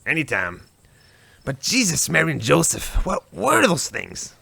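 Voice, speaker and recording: Gravelly, forceful small-town guy acted by a man. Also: fairly high pitch, wildly swooping pitch, unhurried, dry recording, full character voice. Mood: shaken. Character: gruff, folksy, worried small-town man. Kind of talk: visual novel fan dub.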